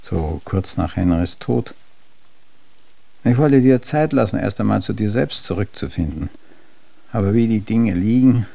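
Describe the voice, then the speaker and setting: Creaky-voiced, earnest male family friend. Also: lowish voice, animated, unhurried, long pauses, warm tone, dry recording, exaggerated voice. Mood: earnest, tentative. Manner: tentative, earnest, hesitant. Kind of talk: German radio drama acting.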